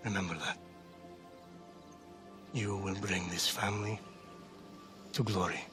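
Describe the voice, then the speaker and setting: Slow, solemn, commanding father. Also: low voice, fairly even intonation, over music. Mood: solemn, proud. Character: stern, solemn Spartan father, commanding and proud. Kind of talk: video game cutscene acting.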